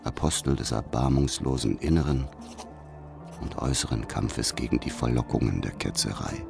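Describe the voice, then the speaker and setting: An actor playing a grave, secretive spy reading out his diary in a radio drama, measured and ominous. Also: rumbling low, creaky. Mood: brooding, ominous. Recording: music under the voice.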